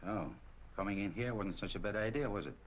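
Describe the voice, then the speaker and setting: An actor playing a cocky, evasive middle-aged nightclub operator in a 1940s crime film, talking in slangy retorts. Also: male voice, fairly low pitch, a little fast, natural voice. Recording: muffled, noisy old film soundtrack.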